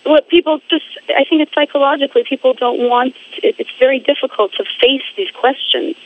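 Woman talking over a tinny phone line. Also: sits high. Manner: halting, repeats words while searching for phrasing. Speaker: guest. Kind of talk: phone interview.

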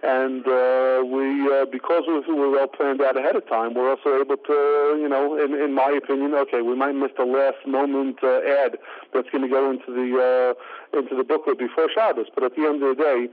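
A man giving an interview, speaking haltingly with many fillers. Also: medium pitch, flat delivery. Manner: halting, full of fillers like "uh, you know". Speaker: interview guest. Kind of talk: interview over the phone. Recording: tinny phone line.

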